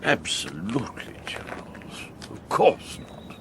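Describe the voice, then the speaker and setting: An actor playing an urbane intelligence agent in a radio drama, languid, arch and plummy, with an upper-class English accent. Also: male voice, on the low side, very animated, slow pace, noisy background. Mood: amused, urbane.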